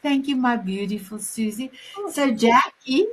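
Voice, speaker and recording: A woman with a South African Afrikaans accent hosting a talk show in a gushing, affectionate, halting way. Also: a little high, fairly bright tone. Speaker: show host.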